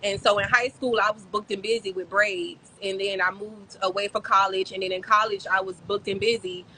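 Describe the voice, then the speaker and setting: A woman in her thirties, from the Bahamas and raised in South Florida, telling her story as a podcast guest in a relaxed, chatty way with many "um"s and "like"s. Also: medium pitch, normal speed, a little hiss or hum, rough recording. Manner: warm, chatty storytelling with frequent "um" and "like". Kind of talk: casual interview chat.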